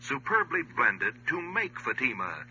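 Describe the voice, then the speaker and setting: Very bright, animated male radio pitchman. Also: a little slow. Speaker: commercial announcer. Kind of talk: 1950s scripted radio advertising. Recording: dull old radio recording with hum.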